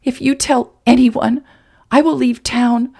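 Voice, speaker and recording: A woman voicing a stubborn, defiant young woman who speaks high and indignant. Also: steady tone, slow, mellow, breathy edge, heavily acted.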